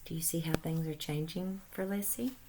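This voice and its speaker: A woman teacher reading a storybook aloud to young children in a deliberate, instructive way with animated emphasis. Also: low voice, heavy background noise. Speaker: first grade teacher.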